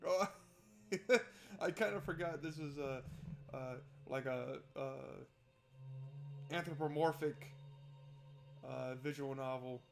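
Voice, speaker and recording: A man's voice: fairly high pitch, slow, vocal fry, pauses often, faint music behind. A man hosting a visual novel playthrough, reading narration aloud and adding casual, wry asides. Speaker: gaming video host.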